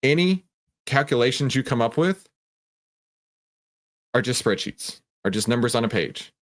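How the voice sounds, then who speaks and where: A man's voice: mid-range voice, expressive, moderate pace. A man hosting a live stream in a casual, conversational way, explaining emphatically, posing questions and often checking in with a tag question. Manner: conversational, often tags statements with "right?"; emphatic, explanatory, poses questions and answers them. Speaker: host of a live stock trading stream. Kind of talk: casual live market commentary, chatting with viewers.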